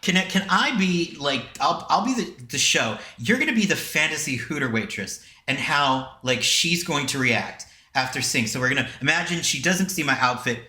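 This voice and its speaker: A man's voice: fairly high pitch, lively intonation, normal speed, few pauses, pushed and bright, echoey room. A man chatting casually as a podcast host, wry, rambling and playful. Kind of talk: casual chat.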